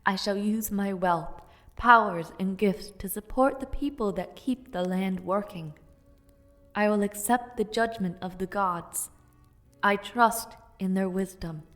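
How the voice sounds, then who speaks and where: A woman's voice: mid-range voice, a little slow, fairly bright tone, no echo, soft background music, natural voice. A young woman voicing a spirited, playful noblewoman knight in an audio roleplay, chatty and teasing. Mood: solemn. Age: young adult.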